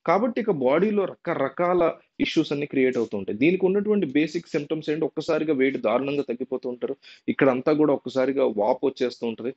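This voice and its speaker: Fast-talking man, dull recording. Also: fairly high pitch, darkish tone. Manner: repeats and rephrases points, mixes in English terms. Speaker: presenter. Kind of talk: informal unscripted explainer to viewers. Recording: dull, lo-fi sound.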